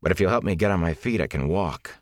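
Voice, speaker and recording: A man's voice: sits low, lively intonation, quick pace, no room sound, studio quality. A man as an earnest, strained young farm lad. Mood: weary, strained. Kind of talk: audiobook character acting.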